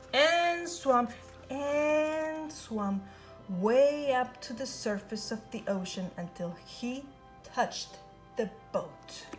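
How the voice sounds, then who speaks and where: Woman narrator for a children's storybook read-aloud; unhurried, with a bright, higher voice and a lively, swooping sing-song lilt. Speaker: storybook reader. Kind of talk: reading a children's picture book aloud.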